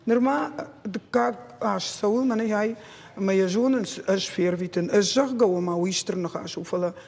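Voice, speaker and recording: A man with a notably high, rough-edged husky voice, a native Ossetian speaker. He talks off the cuff, stopping and repeating himself. Long reverb trails his words. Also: measured pace, darkish tone. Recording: very echoey, reverberant room.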